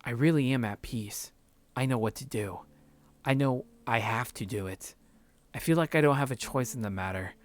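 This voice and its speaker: Visual novel narrator: numb, heartbroken man read by a man, mid-pitched, brooding, subdued delivery. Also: moderate pace, natural voice.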